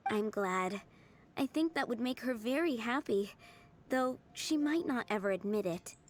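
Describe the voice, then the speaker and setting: Gentle young cook. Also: female voice, fairly high pitch, lively intonation, measured pace. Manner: gentle, earnest. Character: kind young woman cook, gentle and earnest. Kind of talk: video game voice acting.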